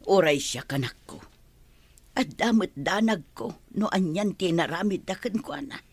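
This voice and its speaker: A woman playing a fretful, loving older mother in an audio drama, with a husky, breathy voice. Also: lowish voice, lively intonation, unhurried, heavily acted. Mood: fearful, tender.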